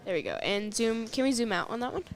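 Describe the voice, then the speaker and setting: A woman's voice: on the high side, expressive, fast. A teenage girl with an American accent hosting a casual live sports broadcast, informally giving camera directions as she talks.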